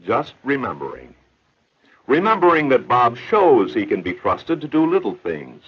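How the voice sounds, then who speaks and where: A man's voice: medium pitch, huge pitch swings, normal speed, slightly rough. A man narrating an educational film in an earnest, explanatory storytelling manner. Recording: dull old film soundtrack with faint hiss.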